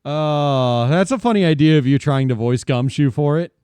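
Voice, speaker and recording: A man's voice: on the high side, normal speed, a little mellow, smooth, no echo. A man chatting playfully as a streamer while playing a game. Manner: playful, joking. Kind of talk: casual chat while gaming.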